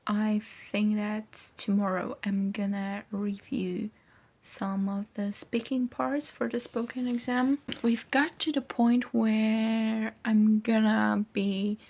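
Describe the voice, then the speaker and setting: A woman's voice: medium pitch, level tone, slow, a little mellow. A woman, a student vlogger chatting casually in a rambling, informal way. is her language. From Poland. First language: Polish. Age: early 20s. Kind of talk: casual chat.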